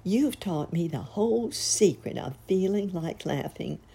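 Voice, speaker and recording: A kindly little clown man, acted out by a woman storyteller, earnest and eager to cheer. He talks in a very husky voice with animated, swooping pitch and a coaxing manner. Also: a little slow, exaggerated voice. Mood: excited.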